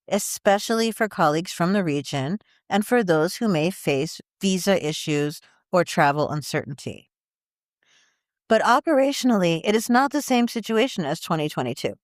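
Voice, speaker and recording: A woman's voice: lowish voice, no room sound, studio quality. A woman journalist reading a written article aloud as scripted narration.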